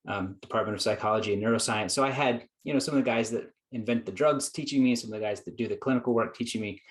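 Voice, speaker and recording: A man, a psychologist guest, telling his story in an earnest, reflective way, acting out his own past thoughts. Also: lowish voice. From United States. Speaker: guest psychologist and productivity coach. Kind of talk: conversational storytelling.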